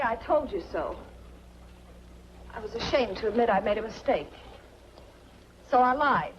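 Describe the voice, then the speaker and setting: A woman's voice: on the high side, lightly acted. An American actress playing a guarded, wistful young woman with a secret past in a 1940s film noir. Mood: defiant. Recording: muffled, noisy 1940s film soundtrack.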